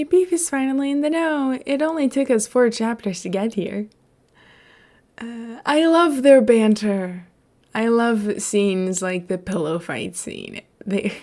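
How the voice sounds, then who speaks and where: A woman's voice: fairly high pitch, darkish tone. A woman reading and talking as a podfic narrator, in an informal storytelling style. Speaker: podfic reader. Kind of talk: story narration and casual commentary.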